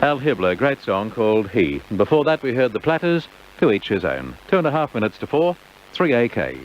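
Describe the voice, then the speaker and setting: An Australian man presenting on radio in a measured announcer's delivery, reading information and news. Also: medium pitch, very animated. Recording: dull, noisy old AM radio tape.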